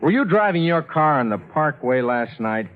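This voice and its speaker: An actor playing a troubled, skeptical friend in a 1950s radio drama, speaking and narrating with earnest, dramatic delivery. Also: male voice, a little high, big pitch swings, moderate pace. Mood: hesitant probing. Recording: dull 1950s radio recording with music.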